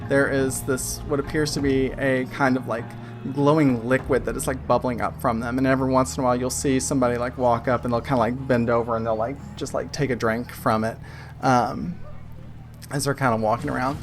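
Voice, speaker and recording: A man improvising tabletop game narration as game master, halting as he searches for his words. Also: medium pitch, level tone. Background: music.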